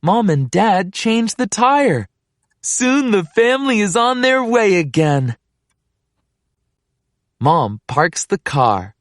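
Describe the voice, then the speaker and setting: Female storybook reader, unhurried delivery with lively pitch swings, recorded dry and close. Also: no room sound. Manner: expressive, deliberate read-aloud delivery. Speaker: picture book reader. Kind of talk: scripted children's story read-aloud.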